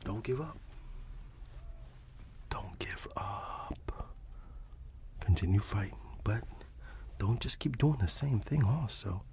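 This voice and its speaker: A man giving an encouraging, rambling ASMR monologue that slips between a breathy whisper and soft speech, often repeating himself. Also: fairly low pitch, expressive, takes it slowly, pauses often, very noisy. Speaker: ASMR channel host.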